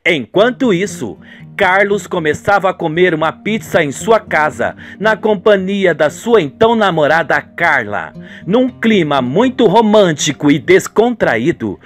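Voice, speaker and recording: A man's voice: high voice, big pitch swings, a little slow, soft background music. A Brazilian man giving dramatic scripted narration of a radio soap as a playful storyteller. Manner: playful storyteller addressing listeners.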